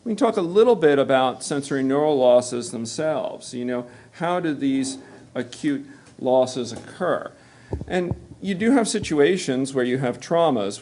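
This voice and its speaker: A middle-aged American man with a measured, explanatory manner, giving a lecture at a medical seminar. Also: medium pitch, darkish tone. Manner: measured explanatory delivery, occasional fillers. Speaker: physician presenting at a medical seminar. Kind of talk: lecture to health care workers.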